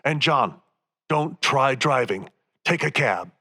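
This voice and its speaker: An actor in a radio play playing a stern, concerned scientist doctor who speaks with insistent authority. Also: male voice, medium pitch, animated, slow pace, sharp tone, full character voice. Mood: stern, urgent.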